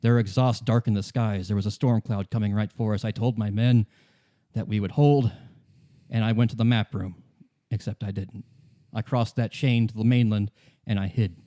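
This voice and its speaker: A man playing a bitter, weary old communist deserter in a radio play, a defiant former commissar who speaks haltingly and insistently. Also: lowish voice, steady tone, warm tone, natural voice. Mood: haunted, reminiscing. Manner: halting, repeating himself, grimly insistent.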